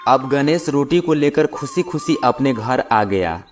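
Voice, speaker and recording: A man with a mid-pitched voice tells a children's story. His delivery is scripted narration for a moral tale. Light music plays behind him. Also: lively intonation, measured pace. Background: background music.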